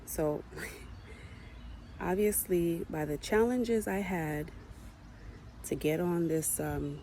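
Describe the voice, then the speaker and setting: A woman's voice: lowish voice, extremely slow, mellow, slight background noise. A woman whose first language is Haitian Creole, speaking into her phone with an earnest, impassioned message that builds emphasis as she repeats key points. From United States. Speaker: mother addressing her community. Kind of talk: earnest personal message to camera.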